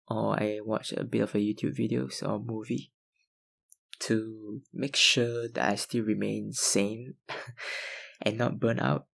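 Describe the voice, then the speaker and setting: Young male ASMR creator, low-pitched, unhurried, filler-heavy fan Q&A. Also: gravelly. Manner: hesitant, lots of ums and likes, soft laughs. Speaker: ASMR YouTuber answering viewer questions. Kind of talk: casual Q&A chat.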